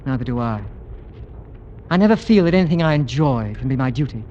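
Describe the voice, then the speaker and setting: An actor playing a bold, excitable monster hunter in an emphatic, theatrical 1940s radio drama style. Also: male voice, medium pitch, fairly quick, highs cut off, natural voice. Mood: earnest, intent. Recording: slightly noisy vintage radio recording.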